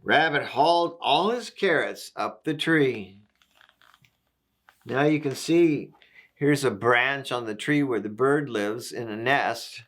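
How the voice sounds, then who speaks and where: A man reading a picture book aloud to young children, gently explaining things and asking the listener questions. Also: medium pitch, slow pace. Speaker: volunteer story reader.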